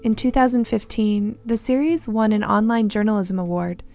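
A woman host reads scripted narration in a calm, read-aloud delivery. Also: medium pitch, moderate pace, dry recording, soft background music. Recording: slightly dull lo-fi sound.

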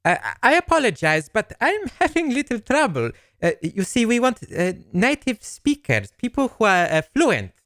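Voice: medium pitch, big pitch swings, normal speed, hardly any pauses, a bit bright, no echo, studio quality. An actor playing a flustered, polite foreign manager of a language school, hesitant and stammering in a comedy drama. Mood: baffled, hesitant.

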